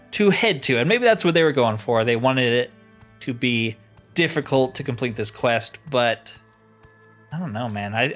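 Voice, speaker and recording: A man's voice: medium pitch, a bit bright. Casual, wry male gamer over music. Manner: musing, wry, conversational. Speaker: gamer playing and commentating a fantasy roleplay game. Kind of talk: casual gameplay commentary.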